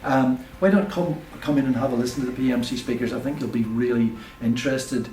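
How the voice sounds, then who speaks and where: A man presenting an informal, unscripted product review as a shop presenter, speaking in a hesitant, halting way. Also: medium pitch, warm tone. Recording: echoey room, distant mic, faint noise.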